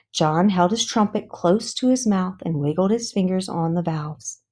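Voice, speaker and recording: A woman narrating a children's book aloud in a careful, deliberate read-along style. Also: fairly low pitch, a little mellow. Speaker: storybook reader.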